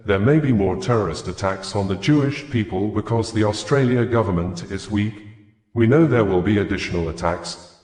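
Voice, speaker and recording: Echoey male news reader, lowish voice. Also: a little mellow. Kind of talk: scripted news narration. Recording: echoey, roomy sound.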